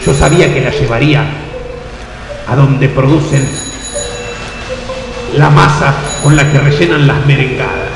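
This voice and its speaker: An actor playing Francisco, an easygoing Argentine man telling his own absurd romance in a comic radio play with halting wonder. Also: medium pitch, creaky. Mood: wonderstruck. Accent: Argentine, Rioplatense. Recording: echoey reverb, loud music behind.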